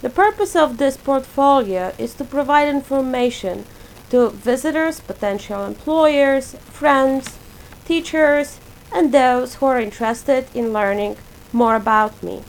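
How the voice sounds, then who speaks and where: Woman from poland, polish first language, reads a prepared intro slow and list-like, gravelly bit of fry. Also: high-pitched, lively intonation, slight background noise, low-quality recording. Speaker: graduate student presenting a portfolio. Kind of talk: reading a prepared self-introduction.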